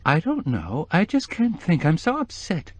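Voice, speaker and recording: A man voicing a distraught middle-aged woman who keeps breaking into tears, her voice quavering and climbing high. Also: animated, moderate pace, a little mellow, dry recording, natural voice. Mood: tearful.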